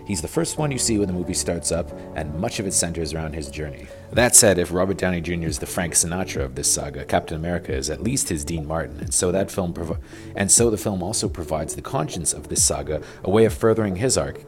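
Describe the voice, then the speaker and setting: Deep-voiced man reviewing films over music. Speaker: film critic. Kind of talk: scripted movie review voice-over. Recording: music bed under the voice. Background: background music.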